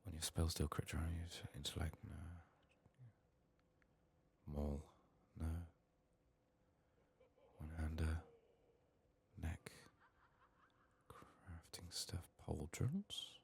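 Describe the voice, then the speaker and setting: A man quietly narrating a game playthrough in soft-spoken ASMR style, murmuring to himself and often dropping toward a whisper. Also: a bass voice, little pitch movement, takes it slowly, long pauses, slight background noise. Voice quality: soft, hushed, breathy in whispers. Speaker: gaming streamer.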